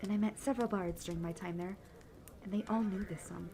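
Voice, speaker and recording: A woman's voice: mid-range voice, steady tone, dark tone, lightly acted. A young woman playing a peppy traveling bard in a fantasy audio roleplay, telling tales earnestly and fondly without hurry. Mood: earnest, nostalgic. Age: young adult. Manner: earnest, fond, unhurried storytelling.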